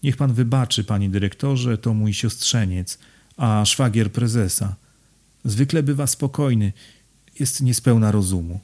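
A man's voice: lowish voice, a little slow, darkish tone. A man voicing a dramatic, sentimental older society aunt in an audiobook. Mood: apologetic. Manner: exalted, sentimental.

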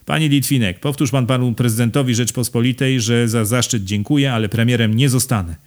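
A man voicing a gruff, commanding male bank president with wooden delivery in an audiobook. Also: mid-range voice, brisk, close to an everyday voice. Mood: curt.

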